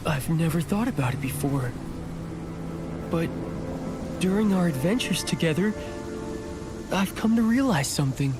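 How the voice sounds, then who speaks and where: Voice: medium pitch, expressive, a little slow, pauses often, natural voice. An actor voicing an earnest, timid but brave young misfit guardian in a video game, sincere and a little hesitant. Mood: sincere, shy. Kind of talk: video game cutscene acting. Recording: music behind the voice.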